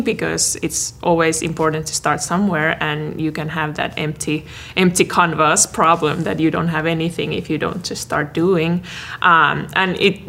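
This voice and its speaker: A woman's voice: low voice, gravelly, fairly bright tone. A woman from Finland, a guest in a podcast interview, speaking thoughtfully and repeating words as she searches for her phrasing. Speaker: learning and development manager, guest.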